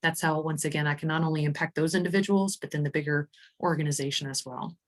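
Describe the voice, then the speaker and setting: Low-pitched woman giving a software demo. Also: fairly even intonation, fast. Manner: enthusiastic, informal, explanatory. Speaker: HR executive demonstrating software. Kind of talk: software demo presentation, conversational.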